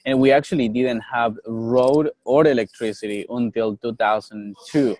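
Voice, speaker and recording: A man's voice: mid-range voice, fairly even intonation, moderate pace, a little mellow, no room sound. An earnest young man from Colombia, with Nasa as his first language, talks as a guest in a casual interview. He often repeats words as he searches for the next one. His mid-range voice sounds dry and close to the mic. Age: young adult. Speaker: guest, coffee business owner.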